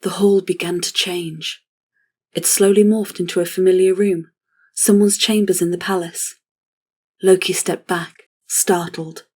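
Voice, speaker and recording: Female narrator for scripted fantasy, slightly low register, very level and solemn. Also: little pitch movement, measured pace, darkish tone, husky.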